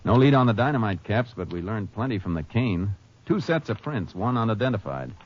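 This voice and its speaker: An American man playing a steady, terse Texas Ranger who narrates his own case in a 1950s radio drama, speaking in a clipped, matter-of-fact way. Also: fairly low pitch, a little fast. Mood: businesslike. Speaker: lead actor and narrator. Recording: muffled old radio broadcast with music.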